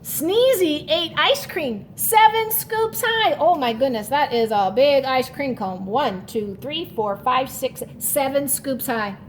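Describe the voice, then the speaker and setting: A woman teacher giving a children's read-aloud and lesson, expressive and instructional, often asking questions. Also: high-pitched, takes it slowly, few pauses, a little hiss or hum. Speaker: teacher and storyteller.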